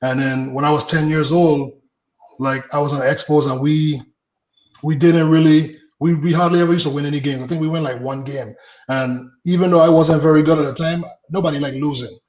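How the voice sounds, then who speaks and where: A man in his late thirties from the Virgin Islands tells stories in a loose, easygoing way, with easy laughs and plenty of fillers. His voice sits a little high for a man. The recording echoes and sounds dull and lo-fi. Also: fairly quick. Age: late 30s. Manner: laughs easily, relaxed, trails off with fillers. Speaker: guest, former baseball pitcher. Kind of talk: casual interview storytelling.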